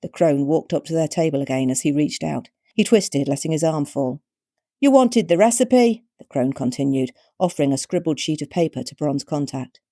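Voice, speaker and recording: A British woman narrating her own short story with measured, wryly expressive storytelling. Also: low-pitched, dry recording, clean studio sound. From Britain.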